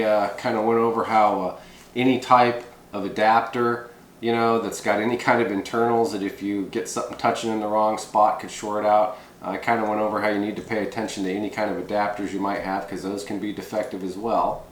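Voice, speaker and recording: Low-voiced man in an echoey room. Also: level tone, brisk. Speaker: presenter of a CB radio how-to video. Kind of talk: informal hands-on tutorial, unscripted. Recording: echoey room with background noise.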